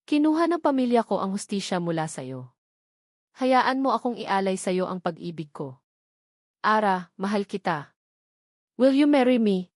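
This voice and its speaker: A woman's voice: medium pitch, lively intonation, normal speed, long pauses, a little mellow, no room sound, clean studio sound, close to an everyday voice. Woman voicing an earnest, lovestruck young man. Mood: pleading, loving. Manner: emotional, tender, pleading.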